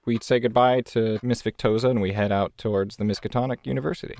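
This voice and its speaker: A man's voice: mid-range voice, fairly even intonation, fast talker, a little mellow, no room sound, clean studio sound. A man talking casually as a player in a tabletop game, describing what he does.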